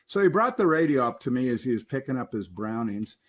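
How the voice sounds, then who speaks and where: A man's voice: mid-range voice, big pitch swings, fairly quick. Folksy man reviewing old radio gear. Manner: folksy, chatty, enthusiastic about gear. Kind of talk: casual hands-on product review.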